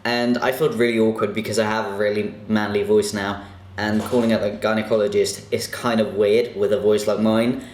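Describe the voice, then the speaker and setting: A man's voice: lowish voice, level tone, normal speed, a little mellow. Chatty young man in echoey room. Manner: chatty, self-conscious, lots of fillers. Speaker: vlogger. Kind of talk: casual Q&A vlog.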